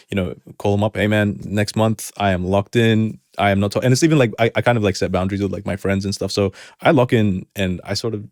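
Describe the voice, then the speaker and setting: A young man talks in a casual interview, his accent a blend of Arabic, Kiwi and American. His voice sits low and stays fairly flat, and he rambles, restarting his sentences often. The sound is close and dry. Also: dry recording. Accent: hybrid of Arabic, New Zealand and American. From New Zealand. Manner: rambling, many restarts and fillers. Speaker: hip hop artist, guest.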